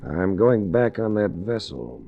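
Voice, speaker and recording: An actor playing a cool, persistent investigator in a 1940s radio drama, with a wry, probing, clipped manner. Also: male voice, fairly low pitch, big pitch swings, unhurried, gravelly, phone-quality sound, heavily acted. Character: cool, persistent hard-boiled male investigator narrating his own adventure. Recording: noisy restored 1940s radio recording.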